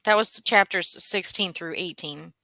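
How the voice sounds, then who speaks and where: Bright-voiced woman chatting about a book. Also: lowish voice, normal speed, vocal fry, no echo. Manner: chatty, thinks aloud, frequent fillers and restarts. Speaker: co-host of a book club livestream. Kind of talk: casual chat, reading out viewer comments.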